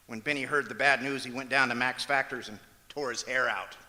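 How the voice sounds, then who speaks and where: A man playing a wry, long-suffering husband, the hesitant deadpan straight man in an old-time radio comedy on stage. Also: medium pitch. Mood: patient, incredulous. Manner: deadpan, halting with hesitant uhs. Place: performing arts center auditorium, live stage. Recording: echoey auditorium stage hall.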